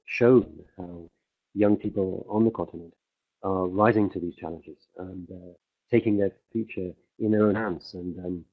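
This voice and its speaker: A man interpreting a conference speech on the fly, halting often with fillers as he keeps up with the speaker. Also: low voice. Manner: halting, many fillers and restarts while keeping pace with the speaker. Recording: tinny phone-like livestream audio.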